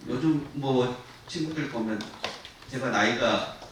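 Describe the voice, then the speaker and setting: A man's voice: medium pitch, takes it slowly. A man in his sixties giving an informal guest lecture to students, frank and self-deprecating, with many fillers. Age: 60s. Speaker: production company head giving a guest lecture. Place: university lecture hall. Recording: distant mic in an echoey lecture hall with noise.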